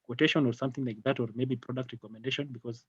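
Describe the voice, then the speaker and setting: Male product trainer, Kenyan accent, halting and repetitive delivery, dry lo-fi call sound. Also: medium pitch, steady tone, slightly rough, no room sound. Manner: halting, repeats phrases. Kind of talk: live online technical training. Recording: dull lo-fi online call audio.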